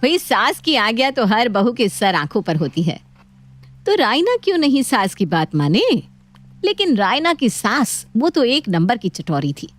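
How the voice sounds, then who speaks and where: A woman's voice: on the high side, big pitch swings, a little slow. A woman storyteller reading scripted narration for a moral story. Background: background music.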